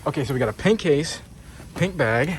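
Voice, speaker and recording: A man's voice: fairly high pitch, lively intonation, normal speed. A young man in his twenties bantering playfully with classmates in a dental school lab, filmed on a handheld camera. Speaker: vlogger, dental student. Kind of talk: casual chat with classmates in a lab. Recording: noisy lab ambience.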